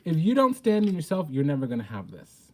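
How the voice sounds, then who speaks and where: A man's voice: a little high, wildly swooping pitch, brisk, dark tone. A man giving an informal spiritual tarot reading in a blunt, sassy, profane way with emphatic, theatrical delivery. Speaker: tarot reader, host.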